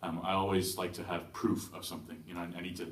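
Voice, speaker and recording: A young man born in Taiwan giving a hesitant personal testimony at church, with many pauses and restarts. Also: low-pitched, level tone. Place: church hall. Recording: echoey room from far off.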